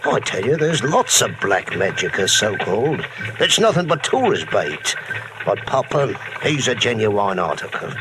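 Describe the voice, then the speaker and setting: A colorful old salt of a guide gabs in folksy, ungrammatical slang. His lowish voice leaps and dips with lively relish. It comes from an old radio play with clear background noise. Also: a little slow. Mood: gossipy, relishing. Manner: folksy, colloquial, yarn-spinning. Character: folksy old salt, male local guide and cart driver. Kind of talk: old-time radio drama acting. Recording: noisy old radio recording.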